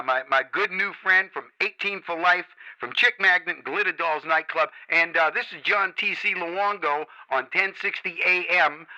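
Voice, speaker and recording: A man hosting a radio show, talking up a guest's stores in smooth, salesy DJ patter. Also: a little high, pushed and bright, lo-fi sound. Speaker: radio host. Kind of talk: hyped radio show chat.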